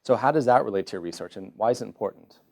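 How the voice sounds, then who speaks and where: Male interviewer, fast-talking, gravelly, brisk and friendly with questions. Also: fairly low pitch, animated. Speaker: interviewer, PhD candidate. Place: conference venue.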